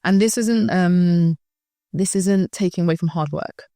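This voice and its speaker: British woman chatting thoughtfully in an interview, lowish voice, dry close sound. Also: a little slow, dark tone, dry recording. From United Kingdom. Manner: reflective, often checks in with the listener. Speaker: school founder, guest. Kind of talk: conversational interview answer.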